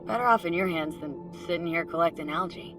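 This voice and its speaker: A woman plays a laid-back nomad companion from a video game, curious and casually teasing. Her delivery is dry, and loud music and underwater sounds run behind her. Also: fairly low pitch, quick pace, close to an everyday voice, rough recording. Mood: easygoing. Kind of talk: naturalistic video game acting.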